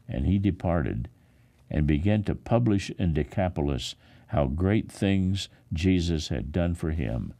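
A man, a pastor, reading the Bible aloud in a solemn, dramatic style with measured, expressive emphasis. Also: low voice, gravelly.

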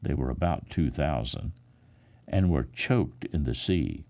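A man, a pastor, reading the Bible aloud in a solemn, dramatic style with measured, expressive emphasis. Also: extremely low pitch.

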